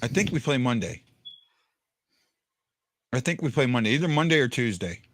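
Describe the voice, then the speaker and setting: A man with a deep voice hosting a casual fan podcast, rambling with stumbling restarts. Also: big pitch swings, normal speed, fairly bright tone. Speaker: podcast host.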